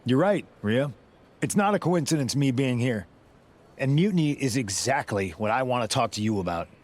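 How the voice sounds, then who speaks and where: A man's voice: medium pitch, lively intonation, moderate pace, close to an everyday voice. Man acting a persuasive rebel wrestler. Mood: persuasive, intense. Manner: earnest, insistent, knowing. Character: persuasive male rebel wrestler leading a mutiny. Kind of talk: scripted video game cutscene acting.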